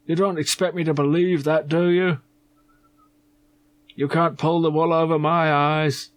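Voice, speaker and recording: A man's voice: fairly high pitch, little pitch movement, unhurried, voice pushed up, close to an everyday voice. A man voicing a pompous, dismissive manager in an adventure game, haughty and grumbling.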